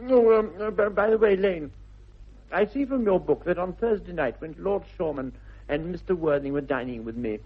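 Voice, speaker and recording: An actor playing a witty, self-indulgent young Victorian gentleman with an upper-class English accent, arch and languid, in 1960s British radio comedy style. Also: high voice, animated, moderate pace, breathy edge, phone-quality sound. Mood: breezy, arch. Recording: thin, band-limited old radio recording.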